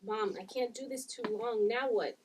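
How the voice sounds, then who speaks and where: A woman's voice: on the high side, moderate pace, darkish tone, lightly acted. A woman playing an earnest daughter in a script read-through, understated and matter-of-fact. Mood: strained worry. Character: gifted daughter with powers, plain and earnest. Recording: distant mic, clear noise, clicks.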